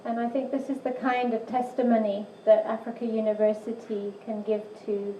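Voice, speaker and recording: A woman's voice: fairly high pitch, flat delivery, normal speed, warm tone, a little hiss or hum. Earnest woman speaking in an echoey hall. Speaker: university teacher. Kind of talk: presentation to a church audience. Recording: distant, echoey, muffled room recording.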